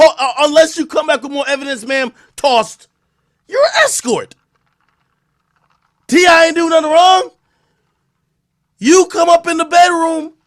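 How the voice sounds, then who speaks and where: Animated, foul-mouthed man streamer reacting. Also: very high voice. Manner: animated, profane and loud, mock-judging with laughter. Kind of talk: live stream reaction commentary.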